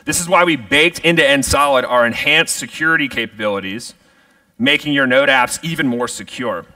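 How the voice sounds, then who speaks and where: A man's voice: on the high side, pushed and bright, dry recording, distant mic. A middle-aged man giving a polished, upbeat product keynote on a large conference stage. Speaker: keynote speaker.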